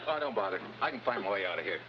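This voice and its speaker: Low-voiced tough American agent acted by a man. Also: lively intonation, rapid-fire, natural voice. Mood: dry, wry. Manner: clipped, wisecracking. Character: tough, wry American male special agent. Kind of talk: 1950s television crime drama acting. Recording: dull, noisy old TV soundtrack.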